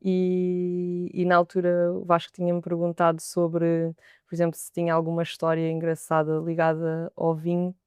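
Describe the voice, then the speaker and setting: A young woman with a European Portuguese accent talks as a sommelier in a podcast interview. Her voice is smooth and clear, her pitch stays fairly level, and she often doubles a word before moving on. The sound is dry and close. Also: monotone, normal speed, dark tone, no room sound. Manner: thoughtful, repeats words as she gathers her thoughts.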